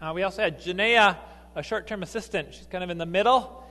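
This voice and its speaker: A man, a lay missionary, preaching a sermon in a church, halting with fillers and restarts. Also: high voice, bright, forceful tone, long reverb tail. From grew up partly in Ecuador, then US.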